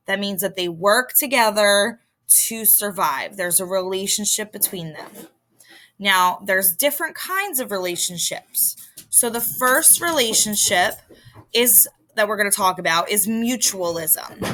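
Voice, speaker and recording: A woman's voice: mid-range voice, pushed and bright. A woman with a Philadelphia accent giving an informal lesson as a teacher, explaining things conversationally and speaking directly to students. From Philadelphia area, United States. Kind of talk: informal recorded vocabulary lesson.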